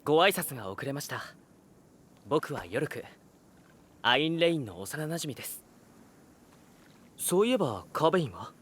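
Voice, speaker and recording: A man's voice: fairly high pitch, sing-song, normal speed, pauses often, a bit bright, slight background noise. A man voicing a polite, earnest, easily flustered young man in an anime game. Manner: courteous, boyish, flustered. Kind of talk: Japanese anime game voice acting. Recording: background music behind the voice.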